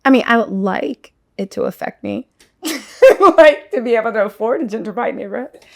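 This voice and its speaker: Guest, female, 40s: frank and jokey, higher pitch, gravelly texture, faint music underneath. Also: normal speed. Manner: playful, candid, swears casually. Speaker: lawyer, podcast guest. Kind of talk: casual interview chat.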